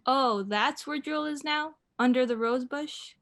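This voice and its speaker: Woman acting a patient, higher-voiced radio mother. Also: vocal fry, heavily acted. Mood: amused, indulgent. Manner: gently chiding, unhurried. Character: patient, indulgent, mildly amused mother of a child.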